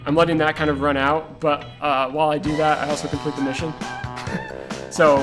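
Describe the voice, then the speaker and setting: A man's voice: a little high, little pitch movement, a little fast. A man giving rambling, casual live commentary while speedrunning a game, with lots of "like" and "uh" fillers. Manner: chatty and rambling, full of "like" and "uh"; laughs at himself between points. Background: game music and sound effects.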